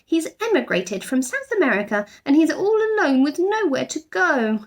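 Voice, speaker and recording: Young girl, performed by a woman reader: welcoming, helpful storybook chatterer, high-pitched and keen. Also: very animated, normal speed. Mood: bright, eager. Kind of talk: children's storybook character voice.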